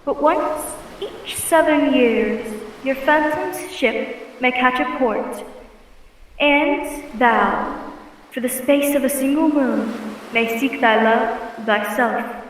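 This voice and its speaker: A woman playing a stern otherworldly spirit pronouncing judgment slowly in radio melodrama, under heavy reverb. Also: high voice, far from the mic, a little hiss or hum. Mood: solemn judgment. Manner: slow, stately, archaic pronouncements. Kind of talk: old-time radio melodrama acting.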